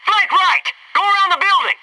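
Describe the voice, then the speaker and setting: A man's voice: extremely high pitch, normal speed, recorded from a distance, noisy background. A man playing a cocky, wisecracking young American Navy SEAL in a military video game, with punchy, wry delivery. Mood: urgent shouting. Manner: punchy, wry, eager banter and callouts.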